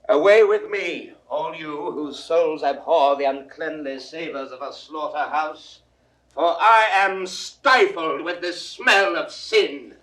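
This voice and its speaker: An actor playing an indignant, distrustful lord, declaiming deliberately in 1960s classical Shakespearean style. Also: male voice, fairly high pitch, a bit bright, rough recording. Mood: scornful, disgusted.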